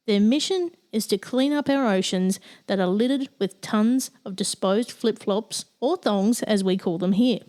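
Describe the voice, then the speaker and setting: A woman's voice: mid-range voice, darkish tone. A woman hosting an interview podcast, presenting and addressing her guest. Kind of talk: scripted introduction and interview.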